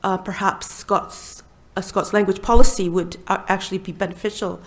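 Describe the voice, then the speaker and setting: A woman in her forties speaking as a panelist, earnest and measured, with frequent hesitations. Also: mid-range voice, fairly even intonation, poor audio. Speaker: library learning officer on a panel. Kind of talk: live panel discussion talk.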